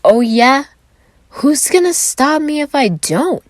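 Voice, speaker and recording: A woman's voice: high-pitched, very animated, slow, no room sound, studio quality, voice pushed up, heavily acted. Woman playing a drawling, slurring drunk sleazy bully. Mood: defiant. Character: huge drunk sleazy man, pushy bully.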